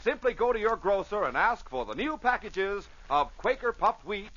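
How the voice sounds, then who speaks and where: A man delivers punchy, scripted old-time radio announcing. His fairly high voice is forceful, with a raspy edge and emphatic swings. The recording is dull and vintage. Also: sing-song, moderate pace. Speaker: announcer and narrator.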